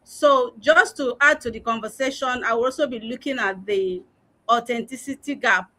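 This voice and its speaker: A woman's voice: a little high, moderate pace, pushed and bright. A woman giving an earnest, personal talk as a panelist on a live online discussion, with frequent filler words. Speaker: learning and development head.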